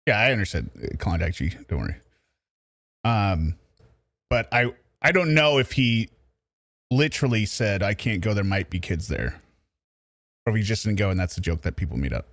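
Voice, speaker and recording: Animated male commentator, dry close sound. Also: on the low side, moderate pace, long pauses, no room sound. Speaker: livestream commentator. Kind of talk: casual off-the-cuff commentary.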